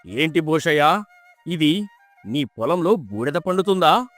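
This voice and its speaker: A man's voice: fairly high pitch, sing-song, slow pace, a bit bright, soft background music, exaggerated voice. A man playing a stern, authoritative king in a dramatised folk tale, with a commanding, declamatory manner. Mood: stern, questioning.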